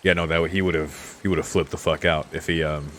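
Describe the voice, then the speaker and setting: Deep-voiced man doing casual game commentary. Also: fairly even intonation, fairly quick, fairly bright tone, slight background noise. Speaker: game streamer reading along. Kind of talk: casual let's play commentary and read-aloud.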